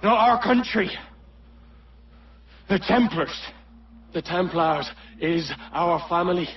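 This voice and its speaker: An actor playing an embittered, fiercely loyal Templar follower in a video game, accusing and forceful in rough speech. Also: male voice, high-pitched, expressive, slow, distant mic, dull audio, a little hiss or hum. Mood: bitter, accusing. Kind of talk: video game cutscene acting.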